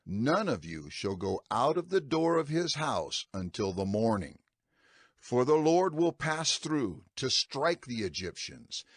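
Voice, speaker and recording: A man playing an earnest male prophet instructing his people in a dramatized scripture recording, with a husky voice. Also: medium pitch, dry recording, clean studio sound.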